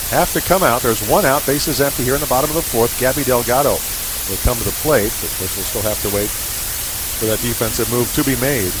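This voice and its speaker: A man's voice: medium pitch, a little fast. A man doing live radio play-by-play commentary as a baseball announcer. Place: ballpark broadcast position. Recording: hissy, noisy radio broadcast recording.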